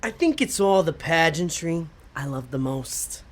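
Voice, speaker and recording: A woman's voice: low-pitched, lively intonation, unhurried. A woman playing a dim, cheerful male demon sidekick in an audio comedy, with an easygoing, goofy manner. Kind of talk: audio comedy drama acting.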